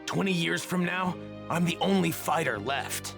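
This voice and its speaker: Young male warrior from a ruined future, anime game dub: grave, polite, very creaky, clear background score. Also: on the high side, music in the background. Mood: somber. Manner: solemn, deliberate, polite.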